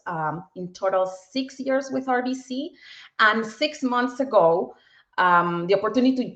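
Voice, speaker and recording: A woman's voice: mid-range voice, a bit bright, echoey room, muffled recording. A woman in her forties from Peru with a Peruvian Spanish accent, a guest speaking upbeat in a casual video interview and often repeating words as she goes. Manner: upbeat, repeats words while finding them. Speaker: guest, bank tech executive.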